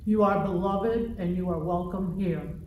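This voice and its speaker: A woman deliberately reciting a liturgical refrain as a participant in worship. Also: lowish voice, steady tone. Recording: echoey, dull, distant mic, faint noise.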